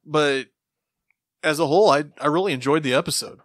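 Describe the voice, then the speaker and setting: A man's voice: on the high side, a little fast, fairly bright tone, dry recording, clean studio sound. A man hosting a podcast, chatting casually as part of a panel. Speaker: podcast host.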